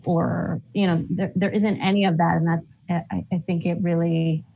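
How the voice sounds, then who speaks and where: A woman from Israel thinking aloud haltingly in a casual group discussion over a video call. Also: lowish voice, level tone, gravelly. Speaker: workshop participant. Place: indoor room, video call. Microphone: computer mic on video call. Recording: muffled, noisy video call audio.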